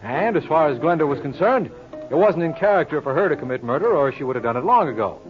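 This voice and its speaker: An actor playing a brash, cocky lawyer sleuth in a 1950s radio drama, glib and sardonic, needling everyone. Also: male voice, fairly high pitch, lively intonation, quick pace, close to an everyday voice. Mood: smug, explaining. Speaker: lead actor of the series. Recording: muffled old radio recording, faint music.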